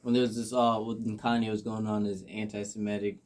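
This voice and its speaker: A man's voice: fairly low pitch, flat delivery, a little fast, a little mellow. An American man in a casual podcast conversation, speaking earnestly with slang and repeating phrases for emphasis.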